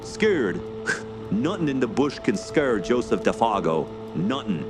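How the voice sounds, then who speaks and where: An actor playing a hearty, proud French-Canadian backwoods guide in a radio play, with folksy, colloquial speech. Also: male voice, medium pitch, sing-song, slow, over music. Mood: defiant. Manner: colloquial, folksy, ends remarks with "eh". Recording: clear background noise under voice.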